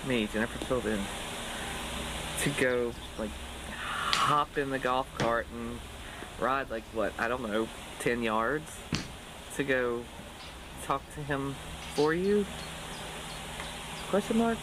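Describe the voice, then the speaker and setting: Someone playing a deadpan woman first officer, reluctant, very slow halting delivery, clear background noise. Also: medium pitch, close to an everyday voice. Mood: skeptical. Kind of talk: improvised comedy sketch.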